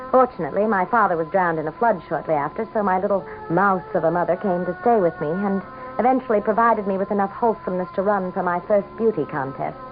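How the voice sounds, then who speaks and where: A woman's voice: medium pitch, a little fast, natural voice. An American woman playing a scheming, seductive and ambitious governor's wife in a theatrical vintage radio drama. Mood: bitter confession. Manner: theatrical, coy, calculating. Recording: muffled vintage radio broadcast, music behind.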